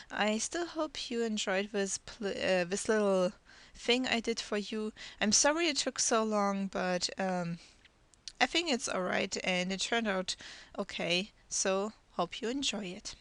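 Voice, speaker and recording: A woman's voice: medium pitch, fairly bright tone, clean tone, no echo. A teenage girl from Russia, a native Russian speaker, narrating her life story in a hesitant way with many restarts. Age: teens. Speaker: channel host. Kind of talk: draw my life voice-over narration. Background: music.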